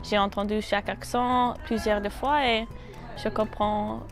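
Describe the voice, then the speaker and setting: A woman's voice: medium pitch, flat delivery, unhurried, soft background music. A woman who is not a native French speaker, chatting casually about how people understand her in an interview.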